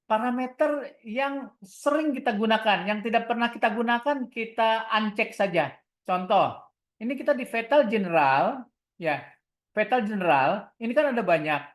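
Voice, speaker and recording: A woman with an Indonesian accent leading an online equipment tutorial step by step, often posing questions and answering them herself in an instructional way. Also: a little high. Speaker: product trainer giving a software tutorial.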